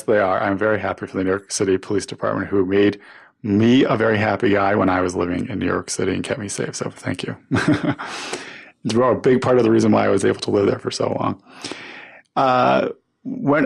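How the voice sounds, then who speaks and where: A man with a fairly low voice and a lot of gravelly fry hosts an interview podcast. He speaks conversationally and encourages his guest as he frames his questions.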